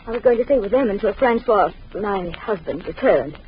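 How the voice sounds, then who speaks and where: An actress playing an anxious young doctor's wife, breathless and emotional, in 1940s radio acting. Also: female voice, medium pitch, lively intonation, moderate pace, lightly acted. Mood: wistful. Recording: dull old radio recording with hiss.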